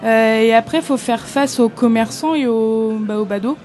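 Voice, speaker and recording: A woman's voice: fairly high pitch, normal speed, darkish tone. A woman guest chatting casually at a live convention recording, explaining with many fillers and laughing often. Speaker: guest, event organizer. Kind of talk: live podcast chat. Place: convention hall, live audience.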